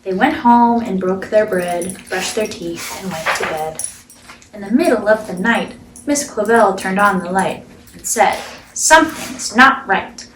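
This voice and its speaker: Slow-paced woman reading a children's picture book. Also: mid-range voice, animated, reverberant, recorded from a distance, background noise. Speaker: storyteller, host of a children's read-aloud show.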